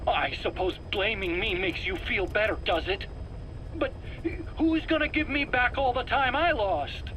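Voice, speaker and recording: A self-justifying scientist in a dramatic video game. His voice sits very high for a man and pleads defensively as he answers his interrogator. There is faint noise in the background. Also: measured pace, sharp tone, poor audio. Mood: aggrieved. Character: defensive, whining male weapons scientist under interrogation.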